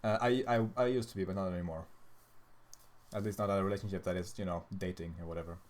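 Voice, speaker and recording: A man's voice: deep voice, fairly even intonation, quick pace. Tired, profane young Swedish man. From Sweden. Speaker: streamer answering chat questions. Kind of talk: casual livestream chat.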